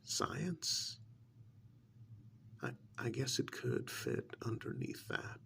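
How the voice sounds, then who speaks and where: A man playing a sly, menacing interrogator in a soft-spoken ASMR role-play, half whispering with insinuating, drawn-out pauses and mock admiration. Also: medium pitch, wildly swooping pitch, a little hiss or hum, exaggerated voice. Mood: mock-admiring, sardonic. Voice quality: breathy whisper mixed with gravelly creak. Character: sly, menacing male interrogator taunting a mad scientist.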